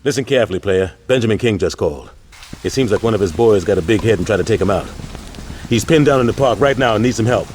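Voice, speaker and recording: An actor playing a stern, blunt, streetwise gang leader with an American accent in a video game cutscene. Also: male voice, on the low side, animated, fast talker, darkish tone, a little hiss or hum, lightly acted. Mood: urgent, commanding. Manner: commanding, blunt street talk.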